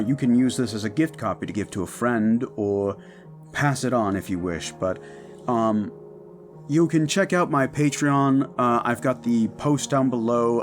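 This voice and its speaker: A man who makes audio roleplays, speaking in an upbeat, informal way as he gives a casual announcement to his followers. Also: fairly low pitch.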